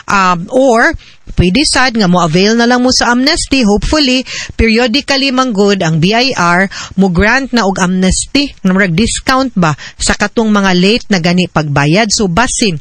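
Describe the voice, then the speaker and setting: A woman's voice: medium pitch, a little slow, a bit bright, dry recording. A woman lawyer on a radio advice program, patiently explaining legal steps and often ending her points with a questioning "no?".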